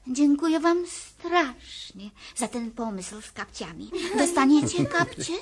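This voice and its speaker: An actress playing a gentle, grateful, affectionate storybook woman in a children's audio play, tender and effusive. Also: high-pitched, expressive, takes it slowly, exaggerated voice.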